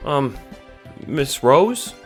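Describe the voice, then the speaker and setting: A man's voice: mid-range voice, sing-song, extremely slow, soft background music, voice pushed up. A man voicing a timid, anxious and helpful cat sprite who hesitates and stammers, in an audio drama. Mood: nervous.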